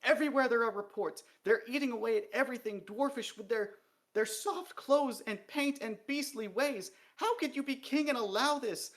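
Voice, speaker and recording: A man reading a novel aloud while voicing a fanatical female dwarf official who rants in a high, strained voice. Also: moderate pace, fairly bright tone. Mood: ranting. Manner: high, strained, ranting to the point of sobbing.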